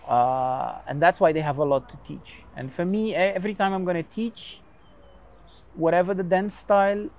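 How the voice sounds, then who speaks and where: A man's voice: a little high, a little mellow, rough recording. Man explaining dance in second-language English. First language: Portuguese creole. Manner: thoughtful, explains with everyday comparisons. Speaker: dance teacher. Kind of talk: interview answers.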